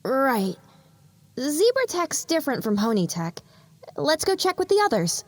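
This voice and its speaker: A woman's voice: high-pitched, big pitch swings, a little slow. A woman playing an earnest, practical young pony mechanic, talking conversationally in an audio drama. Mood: practical, focused.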